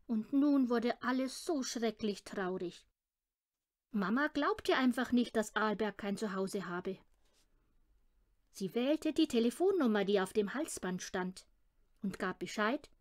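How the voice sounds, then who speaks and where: A woman reading a children's audiobook with expressive storytelling narration. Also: medium pitch. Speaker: audiobook reader.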